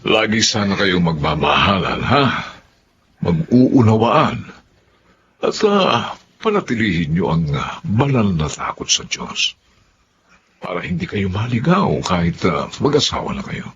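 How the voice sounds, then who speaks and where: An actor with a Filipino accent playing a gentle, devout retired soldier father in a radio drama. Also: fairly low pitch, sing-song, measured pace, a little hiss or hum, full character voice. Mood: earnest, fatherly. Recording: dull lo-fi radio drama, music.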